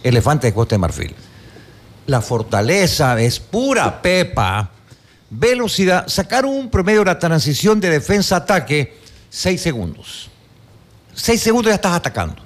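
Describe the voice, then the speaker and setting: Animated sports panelist, man. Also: a little high, moderate pace. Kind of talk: sports talk panel discussion.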